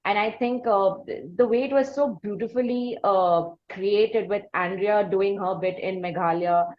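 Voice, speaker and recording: A woman's voice: medium pitch, measured pace. A woman with an Indian accent hosting a conversational interview, asking long, hesitant, circling questions. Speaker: podcast host and interviewer. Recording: dull lo-fi audio.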